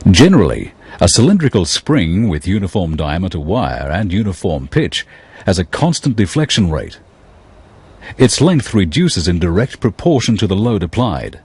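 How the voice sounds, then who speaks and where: Deep, very smooth male technical narrator. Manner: measured, explanatory delivery.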